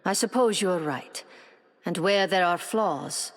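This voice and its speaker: An actress playing a poised, admiring hero in a video game, composed and earnest. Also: female voice, lowish voice, takes it slowly, no room sound, clean studio sound. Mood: thoughtful.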